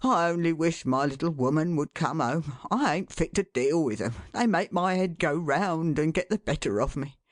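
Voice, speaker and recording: A reader voicing a flustered, harried father of a large poor family who scolds in exasperation, then softens. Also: mid-range voice, animated, normal speed, few pauses, no echo, clean studio sound, close to an everyday voice. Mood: pleading. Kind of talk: audiobook character voice.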